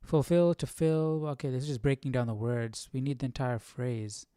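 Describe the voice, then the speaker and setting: A man's voice: mid-range voice, moderate pace, warm tone, clear, resonant tone, no room sound. Casual man with a dry, close-miked sound. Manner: informal, musing aloud. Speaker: livestream host. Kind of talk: casual Bible study chat, reading aloud and commenting. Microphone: close microphone with windscreen.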